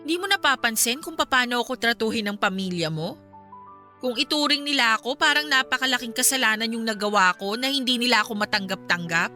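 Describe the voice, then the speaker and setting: A woman's voice: a little high, fairly quick, sharp tone, soft background music. A woman playing a hurt, defiant young wife and mother in an emotional, pleading Filipino radio drama. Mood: hurt, reproachful.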